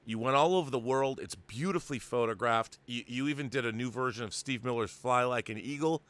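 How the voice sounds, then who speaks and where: A man's voice: medium pitch, fairly quick, fairly bright tone. A middle-aged American man hosting a show, speaking effusively with dramatic build-ups and mock solemnity. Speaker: show host. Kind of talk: theatrical show introduction and enthusiastic interview chat.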